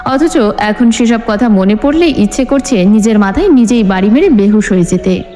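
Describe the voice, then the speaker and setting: A woman's voice: on the high side, dark tone. A woman narrating a scripted dramatic story as an audiobook reader. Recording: long hall reverb with music bed. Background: music.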